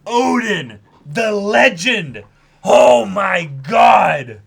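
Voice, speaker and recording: A man co-hosting a reading stream, giving excited, exclamatory, sweary casual commentary on a comic. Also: high voice, drawn-out words, vocal fry, slightly rough, shouting. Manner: effusive, profane, exclamatory.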